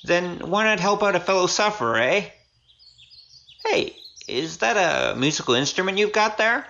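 A woman's voice: lowish voice, expressive, normal speed, vocal fry, a bit bright, dull audio. A woman playing a glib young male gambler in an audio drama, flippant and wheedling. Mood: wheedling, nervous. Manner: wheedling, flippant, hesitant when cornered.

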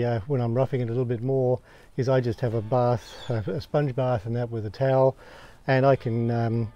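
A man's voice: mid-range voice, mellow. An Australian man talking casually outdoors at home as he walks a camera around his camping gear, with plenty of ums and uhs. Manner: plain, unhurried, many ums and uhs. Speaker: camping vlogger. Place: outdoors, backyard by a caravan.

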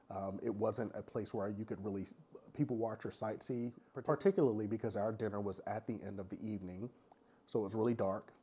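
A man chatting frankly in a casual review monologue, his voice raspy and congested from a cold, with lots of filler sounds. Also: lowish voice, mellow. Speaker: vlogger reviewing a cruise.

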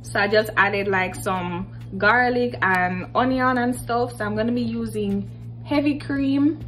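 A woman's voice: on the high side, a little slow, fairly bright tone, faint music behind. A woman in her twenties with a Jamaican accent, chatting casually in informal, Creole-tinged phrasing as she films a cooking vlog in a home kitchen. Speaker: cooking vlogger. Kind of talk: casual chat while cooking.

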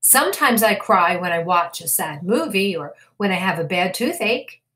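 A woman voicing a gentle, reassuring mother rabbit in a storybook, explaining things patiently and tenderly. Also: lowish voice, animated, moderate pace.

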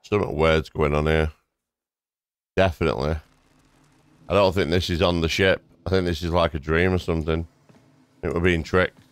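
A man's voice: deep voice, fairly even intonation, normal speed, pauses often, smooth, dry recording. A man giving casual, reactive commentary as he plays a video game. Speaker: gaming video host. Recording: game music behind the voice.

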